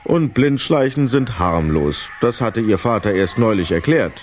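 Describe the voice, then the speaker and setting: A man narrating a children's radio play in an expressive, dramatic storytelling style. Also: on the low side, measured pace, slight background noise.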